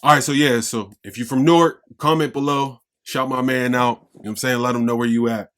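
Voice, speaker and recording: A man's voice: medium pitch, fairly even intonation, a little fast, a bit bright. A young man from Pittsburgh hosting a casual podcast interview, upbeat and slangy with hype greetings. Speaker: podcast host.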